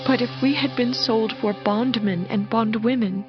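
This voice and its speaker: A woman's voice: fairly high pitch, normal speed, highs cut off, over music, close to an everyday voice. An actress playing a solemn noblewoman of the ancient world, earnest and formal, in a dramatized audio Bible. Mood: pleading. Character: solemn, pleading woman of ancient Persian nobility.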